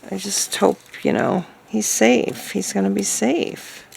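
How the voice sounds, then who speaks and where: A woman chatting casually as she crafts, thinking aloud hesitantly in a hoarse, gravelly voice. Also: medium pitch, fairly even intonation, slow pace, a little mellow, slight background noise. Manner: hesitant, musing to self. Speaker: craft video maker.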